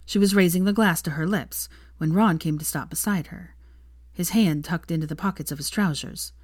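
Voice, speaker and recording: A woman's voice: on the low side, moderate pace, dry recording, studio quality. A woman reading fiction aloud as an audiobook narrator, in scripted storytelling style.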